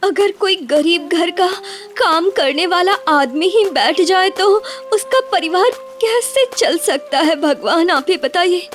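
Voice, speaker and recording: Very high-pitched devoted young village wife played by a woman. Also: takes it slowly, faint music behind, heavily acted. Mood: distressed pleading. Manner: caring, expressive, dramatic. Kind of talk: animated moral story dialogue.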